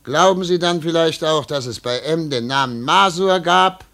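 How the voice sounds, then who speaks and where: An actor playing a stern, impatient investigator in a German radio drama, questioning in a commanding, clipped way. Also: male voice, a little high, moderate pace, fairly bright tone. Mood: stern, pressing. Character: stern, impatient male investigator interrogating a suspect.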